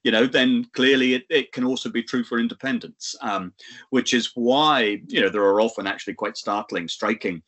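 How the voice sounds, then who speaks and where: A man giving a measured, analytical answer, pausing often with fillers and restarts. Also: mid-range voice, a bit bright. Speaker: guest expert. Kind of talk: analytical discussion.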